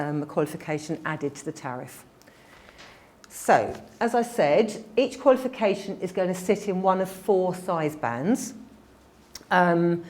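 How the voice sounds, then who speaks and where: Woman, admissions head, a bit croaky, quick informal presenter, lower pitch. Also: darkish tone. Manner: quick-talking, informal, with fillers. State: slightly croaky voice. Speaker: head of admissions giving a briefing. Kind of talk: presentation to a group.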